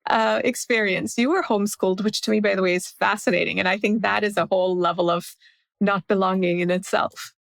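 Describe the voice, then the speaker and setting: A woman in her forties from India, a guest telling her story in a reflective, measured way during a casual interview. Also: medium pitch, fairly even intonation, vocal fry, no echo, clean studio sound. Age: 40s.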